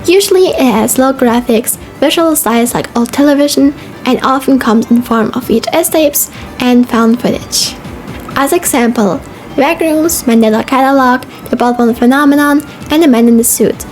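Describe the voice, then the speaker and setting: A young woman with a fairly high voice narrates a video essay. Her delivery is casual and informal, read from a script, and music plays faintly behind her. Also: normal speed, a little mellow, rough recording. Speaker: video essay host and streamer. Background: background music.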